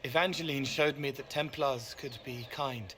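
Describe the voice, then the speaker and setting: An actor playing a gentle, troubled young man with a hesitant, wistful manner, in a video game cutscene. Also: mid-range voice, background noise, lightly acted. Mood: reflective, tender. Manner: hesitant, halting, plain-spoken and wistful. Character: gentle, troubled young man, once a spirit, childlike and haunted.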